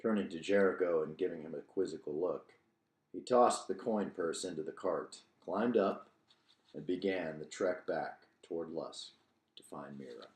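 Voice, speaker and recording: Low-voiced man in an echoey room. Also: moderate pace, darkish tone. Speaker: author reading own book, host. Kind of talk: audiobook narration with casual commentary. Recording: roomy, echoing room sound.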